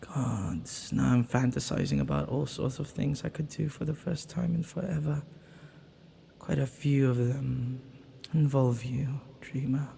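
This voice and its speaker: Slow, flirty villain played by a man, softly mellow. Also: medium pitch, vocal fry, a little hiss or hum. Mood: playful, flirty.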